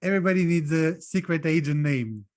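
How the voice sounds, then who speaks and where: A man's voice: a little high, expressive, brisk, darkish tone. A man hosting a podcast, recapping his guest's points step by step. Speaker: podcast host. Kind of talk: podcast interview.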